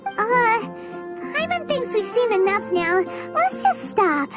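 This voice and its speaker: A woman voicing a tiny, earnest, childlike fairy companion in a video game, with a squeaky voice. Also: very animated, slow, exaggerated voice. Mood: anxious, pleading. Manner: speaks of self in third person, pleading. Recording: loud background music.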